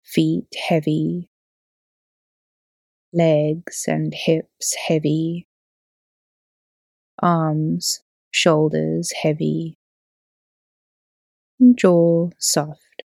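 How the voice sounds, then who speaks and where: Slow, mellow woman guiding relaxation. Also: low-pitched, flat delivery, gravelly, no echo. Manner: soothing, unhurried, gently instructing. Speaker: yoga teacher and podcast host. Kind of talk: guided relaxation meditation narration.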